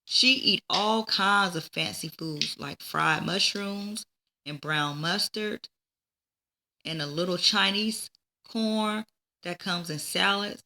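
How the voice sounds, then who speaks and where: A woman reading a children's story aloud in a deliberate way, now and then stumbling and repeating words. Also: lowish voice, bright, forceful tone. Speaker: teacher reading a book aloud. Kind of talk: children's read-aloud narration.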